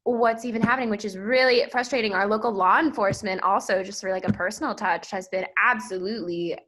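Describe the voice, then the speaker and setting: A woman's voice: medium pitch, quick pace, fairly bright tone. A woman co-hosting an improvised mock true-crime podcast, casual and hedging in her delivery. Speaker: podcast co-host.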